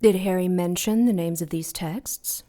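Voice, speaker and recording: A woman playing a vengeful supernatural villain in an audio drama, poised and probing, with quiet menace under her politeness. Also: lowish voice, normal speed, lightly acted. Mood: cool, probing. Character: Lilith, menacing vengeful female supernatural villain posing as friendly.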